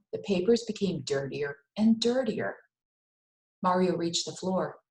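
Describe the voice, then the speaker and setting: Female storyteller, mid pitch, reading children's fiction aloud with careful expression and pauses; echoey room recording. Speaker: read-aloud host. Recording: roomy, echoing indoor sound.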